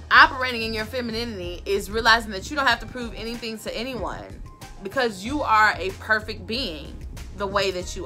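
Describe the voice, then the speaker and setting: A woman, giving sassy, emphatic advice to camera in her bedroom, repeating her points, with a loud voice that carries. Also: mid-range voice. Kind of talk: casual advice talk to camera. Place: bedroom, indoors.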